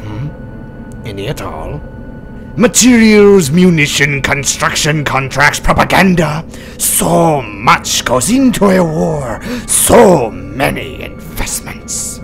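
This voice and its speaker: A man's voice: a little high, very animated, takes it slowly, vocal fry, a bit bright, exaggerated voice. Man playing a condescending, treacherous cartoon spy. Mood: lecturing, menacing. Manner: condescending, theatrical, menacing. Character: smooth, treacherous male spy, coolly professional villain. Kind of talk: fan-dubbed comic voice acting. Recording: music behind the voice.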